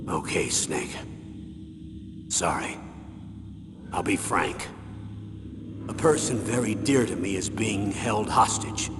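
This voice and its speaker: Deep-voiced, earnest old retired colonel. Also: male voice, animated. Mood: grave, candid. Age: older. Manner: measured, earnest, military briefing delivery. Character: weary older retired colonel, earnest commander and friend. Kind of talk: video game voice acting. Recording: very reverberant hall, loud background noise.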